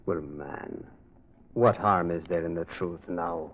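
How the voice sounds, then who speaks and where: An actor playing a gentle, earnest priest detective in an old radio mystery, kindly and moralizing, with a hesitant way of speaking. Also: male voice, deep voice, takes it slowly, a little hiss or hum. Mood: probing, solemn. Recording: thin, phone-like old radio recording.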